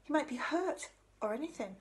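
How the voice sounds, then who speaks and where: A woman's voice: high voice, lively intonation, fairly quick. A woman in her thirties reading a children's story aloud. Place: indoor room at home.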